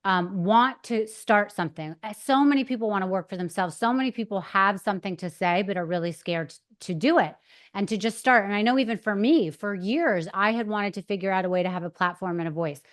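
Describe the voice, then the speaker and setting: A woman podcast host talks easily and at length. She cheers on her guest in relaxed conversation, with a plain, mid-pitched voice. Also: fairly quick. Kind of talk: casual interview chat.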